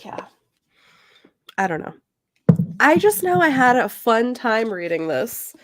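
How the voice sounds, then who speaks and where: A woman's voice: on the high side, big pitch swings, no echo. Casual woman co-host, very dry close sound. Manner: informal, halting, thinking aloud. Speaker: podcast co-host. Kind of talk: casual book discussion chat.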